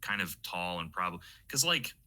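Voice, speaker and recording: A man with a deep voice and a strikingly bright, forward tone conducts a casual interview. His delivery is informal and conversational, like relaxed chat between collaborators. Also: measured pace.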